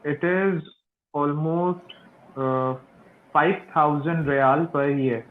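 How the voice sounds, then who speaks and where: A man practicing English in a video-call interview, speaking slowly and carefully like a learner. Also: on the high side, fairly even intonation, slight background noise. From Pakistan. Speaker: interviewer and channel host. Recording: tinny phone-like call audio.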